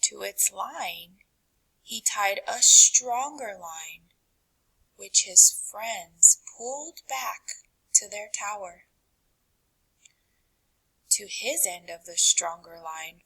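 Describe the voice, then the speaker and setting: A woman reading a picture book aloud to children, with dramatic, deliberate phrasing that drops to a hush and rises for emphasis. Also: medium pitch, breathy edge, rough recording. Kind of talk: expressive read-aloud narration for children.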